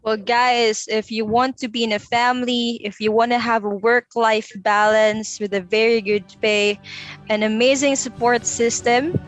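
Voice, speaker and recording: A woman's voice: fairly high pitch, flat delivery, unhurried, no echo, faint music behind, poor audio. A young woman, talking about her job at home as an interview guest, chatty and laughing easily. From Philippines. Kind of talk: casual online interview. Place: home room.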